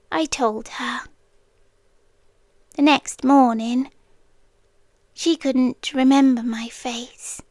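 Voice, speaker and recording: Woman acting a hushed, high-voiced, inhuman British girlfriend. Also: no echo, heavily acted. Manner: soft, hushed, often slipping into whispers. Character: inhuman yandere childhood friend, female forest being, tender, possessive. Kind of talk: intimate ASMR roleplay monologue.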